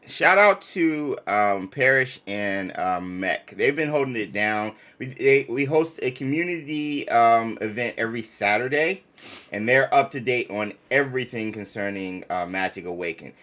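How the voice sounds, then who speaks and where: A man's voice: medium pitch, expressive, moderate pace, a bit bright. A man co-hosting a livestream in casual, slangy chat with a playfully indignant manner. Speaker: streamer co-host.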